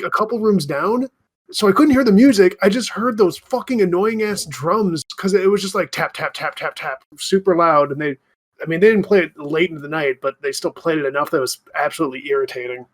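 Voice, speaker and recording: A man with a somewhat high voice talks on a podcast among friends. His delivery is casual and unscripted. He often drops "like" into his sentences. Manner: informal, says "like" often. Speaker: podcast co-host.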